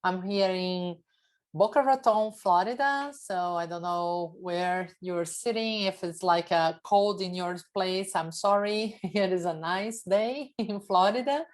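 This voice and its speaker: A woman's voice: lowish voice, takes it slowly. A middle-aged woman with a Brazilian accent and native Portuguese, giving an informal, chatty talk as a guest speaker. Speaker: guest speaker, technology executive. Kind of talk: informal online presentation.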